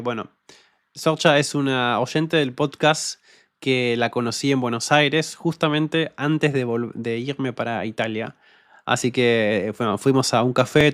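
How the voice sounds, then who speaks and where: Young male podcast host with a neutral Argentine accent and a French-like R. Relaxed and hesitant, recorded dry and close. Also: medium pitch, dry recording. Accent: neutral Rioplatense Argentine Spanish, uvular French-like R. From Patagonia, Argentina. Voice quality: uvular French-like R. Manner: relaxed and hesitant, many fillers, speaks slowly for learners. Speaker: podcast host and Spanish teacher. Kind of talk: casual solo podcast, reading listener comments.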